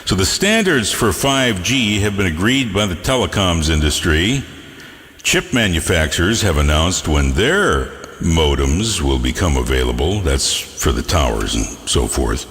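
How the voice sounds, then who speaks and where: Low, animated male radio DJ. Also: a little slow. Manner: playful, jokey, breaks into hammy asides. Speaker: radio DJ, host. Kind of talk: upbeat music radio DJ patter with news bits. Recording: reverberant radio stream with music bed. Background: music.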